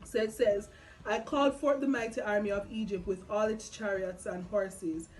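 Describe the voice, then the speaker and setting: A young woman with a Jamaican accent chatting casually about faith and scripture, expressive and slipping between English and Patois. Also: mid-range voice, moderate pace, low-quality recording. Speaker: vlog host. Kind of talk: casual faith chat, retelling and reading scripture. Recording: music behind the voice. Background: background music.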